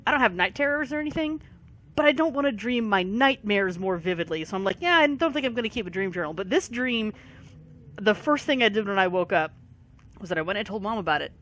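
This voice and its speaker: A tired gaming video creator, short on sleep and fighting allergies, giving a casual rambling commentary full of pauses. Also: medium pitch, animated, sharp tone. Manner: rambling, many pauses and fillers. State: voice affected by allergies.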